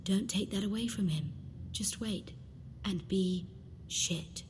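A woman's voice: medium pitch, takes it slowly, darkish tone, close to an everyday voice. Actress as disembodied female voice in the head, bossy and manipulative, terse orders, big reverb over faint noise. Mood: cool, insistent. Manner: terse, commanding, coolly insistent. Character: bossy, manipulative female inner voice guiding a young woman. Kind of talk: audio drama acting.

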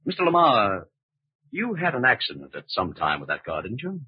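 Calm male FBI investigator, rough-grained and reassuring, old radio crime show, muffled and dry. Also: mid-range voice, normal speed, no room sound, heavily acted. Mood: calm, firm. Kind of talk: old-time radio crime drama acting.

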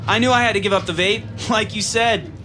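An actor playing a fawning, slacker-cool office favorite in a comedic audio drama, casual and sycophantic. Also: male voice, high voice, fairly even intonation, fairly quick, music in the background, natural voice. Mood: breezy, flattering.

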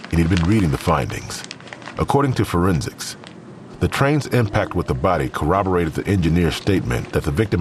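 A man's voice: sits low, noisy background. A man narrating a scripted crime audio drama in the third person.

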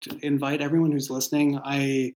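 A man in a casual podcast discussion, speaking as a creator and writer in a halting way, searching for words. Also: medium pitch, little pitch movement, darkish tone. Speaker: audio drama creator and writer.

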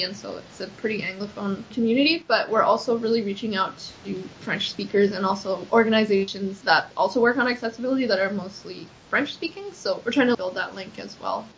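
A woman's voice: mid-range voice, a little fast, hardly any pauses, a bit bright, a little hiss or hum. A young adult woman, an activist answering interview questions in an earnest, chatty way with frequent "like" fillers. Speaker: accessibility activist. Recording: slightly dull lo-fi recording.

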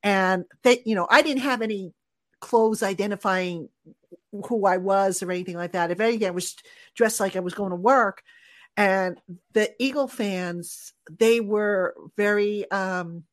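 A woman's voice: medium pitch, measured pace. A middle-aged woman with a New Jersey accent, hosting a casual sports podcast and telling stories with frequent restarts. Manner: chatty storyteller, frequent restarts. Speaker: podcast host.

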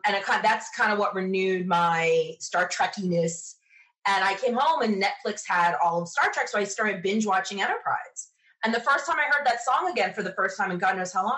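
A woman from the United States talks as a guest in a casual interview. Her voice sits at a middle pitch. She tells anecdotes in a chatty, enthusiastic manner. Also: a bit bright, poor audio. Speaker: interview guest, sci-fi podcaster.

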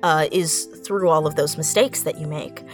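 A woman's voice: low-pitched, fairly even intonation, moderate pace, faint music behind. A woman co-hosting a podcast, talking reflectively and casually, feeling for her words as she goes. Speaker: podcast co-host.

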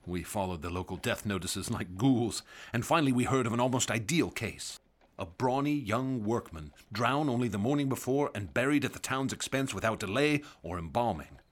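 An actor playing a nervous doctor who recalls grim events in a radio drama, earnest and hesitant. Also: male voice, mid-range voice, lively intonation, normal speed, natural voice. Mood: confessing. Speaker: narrator and assistant. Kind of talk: radio drama acting and narration.

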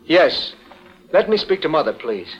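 Earnest young man, higher-pitched, melodramatic. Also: sing-song, a little slow, slightly rough, full character voice. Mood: anxious, pleading. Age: young adult. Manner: emotional, pleading. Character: earnest, wounded, hot-headed young male playwright. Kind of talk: 1940s radio melodrama acting. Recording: muffled vintage 1940s radio recording.

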